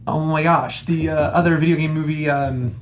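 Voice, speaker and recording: A man's voice: a little high, fairly even intonation, moderate pace, slight background noise. A man chatting casually on a film review panel, rambling through his points, restarting often and checking in for agreement. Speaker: talk show panelist.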